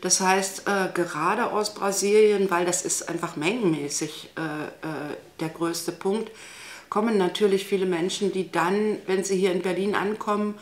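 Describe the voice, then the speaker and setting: A woman's voice: mid-range voice, steady tone, measured pace. A woman in her sixties explaining school matters in a matter-of-fact way, with fillers, in a classroom. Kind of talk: interview answer. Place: classroom indoors. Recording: echoey room.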